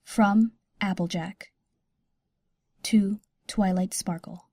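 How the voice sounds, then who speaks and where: Slow, soft, dark-voiced female narrator. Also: mid-range voice, steady tone, slightly rough, dry recording, studio quality. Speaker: announcer of letter headings. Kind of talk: scripted narration.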